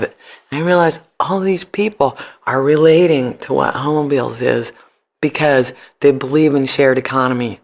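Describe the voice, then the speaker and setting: Podcast guest, American, mid-pitched, colloquial and animated, tells stories casually and slips into quoted dialogue. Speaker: podcast guest, founder of a ride service. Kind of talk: casual storytelling in an interview.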